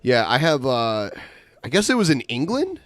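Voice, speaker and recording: A man's voice: medium pitch, wildly swooping pitch, normal speed, a bit bright, no room sound. A man hosting a casual comedy podcast, easygoing while he runs the show. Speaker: podcast host.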